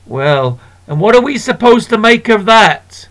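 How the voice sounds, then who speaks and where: A man's voice: high-pitched, sing-song, a little slow, a bit bright, voice pushed up, exaggerated voice. A man voicing a plump, beaming older shopkeeper woman in a children's storybook, homely and chatty. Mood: hearty.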